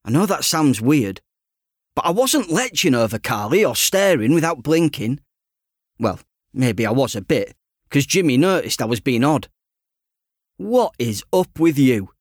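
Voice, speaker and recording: A man reading an audiobook in the first person as a teenage boy, conversational, self-deprecating and expressive. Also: medium pitch, moderate pace, dry recording, clean studio sound. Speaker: author reading his own audiobook.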